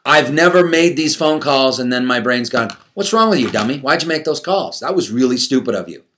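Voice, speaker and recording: A man's voice: medium pitch, lively intonation, fairly quick, a bit bright, a little hiss or hum. Emphatic male motivational coach. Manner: emphatic, repeating phrases for effect, with rhetorical questions; acts out inner self-talk. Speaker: motivational coach addressing an audience. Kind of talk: motivational coaching talk, conversational.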